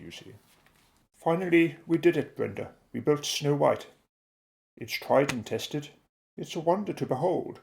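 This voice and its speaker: A man playing a daring, eccentric middle-aged British inventor in an audio drama, explaining things in a declamatory, clipped way, with a creaky voice. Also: medium pitch, a little mellow. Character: eccentric, daring British male inventor, secretive and self-assured.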